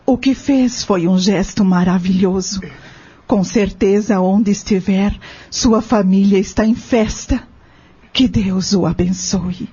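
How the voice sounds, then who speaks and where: Actress as a husky, caring farm lady. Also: medium pitch, takes it slowly, dark tone. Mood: tender, proud. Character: compassionate, emotional farm lady, devout and caring. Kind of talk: audio drama acting. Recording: dull lo-fi audio with music underneath.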